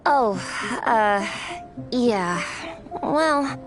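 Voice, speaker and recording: An actress playing an earnest, wide-eyed young trainee who is unsure of herself, in an anime video game. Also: female voice, on the high side, animated, extremely slow, over music, heavily acted. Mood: hesitant.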